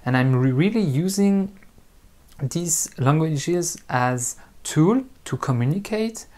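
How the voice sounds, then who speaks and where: French-accented male host, unhurried and halting, frequent filler pauses, off-the-cuff talk to viewers. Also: fairly high pitch, animated, a little mellow. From France. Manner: hesitant, pausing often with ums and uhs. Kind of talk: unscripted talk to camera.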